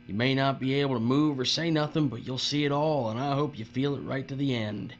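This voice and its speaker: A man's voice: medium pitch, a little fast, close to an everyday voice. A man playing a cruel, leering bully boss in a dystopian audio drama, with a taunting drawl and folksy, uneducated grammar. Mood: gloating, bossy. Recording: muffled audio with steady mains hum.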